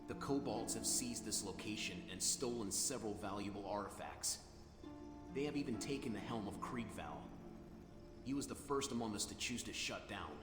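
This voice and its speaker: A man voicing a solemn, logical machine-being guarding a tomb in a video game, speaking formally and gravely. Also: on the low side, moderate pace. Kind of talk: video game quest dialogue. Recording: long hall reverb, music behind. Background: game music.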